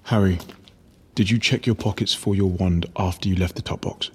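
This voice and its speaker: A man playing a brooding, sharp-witted fugitive wizard in an audiobook drama, questioning in a deliberate, probing way. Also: deep voice, fairly even intonation, breathy edge. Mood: probing, intent. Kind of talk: full-cast audiobook drama.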